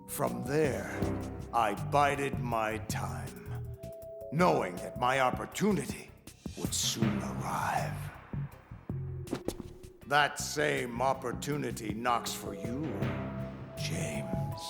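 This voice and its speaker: An actor playing a scheming, middle-aged rebel leader in a video game drama, speaking in a grandiose, deliberate manner. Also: male voice, mid-range voice, sing-song, exaggerated voice. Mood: smug, brooding. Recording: long reverb, music underneath. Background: clear music.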